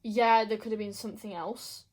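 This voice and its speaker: A woman's voice: mid-range voice, steady tone, moderate pace, a little mellow. Gushing young woman chatting casually. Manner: gushing, emphatic, repeats herself. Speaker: book review vlogger. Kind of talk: casual chat to camera.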